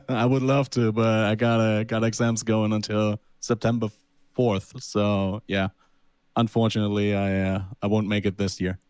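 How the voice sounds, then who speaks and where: A young man signs off a casual call. His voice is lowish, clear and dry, with no room sound. He hesitates a lot, saying "um" and "uh" between his thoughts. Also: flat delivery, normal speed, a little mellow, no room sound. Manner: hesitant, many fillers. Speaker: departing call participant. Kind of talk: casual chat.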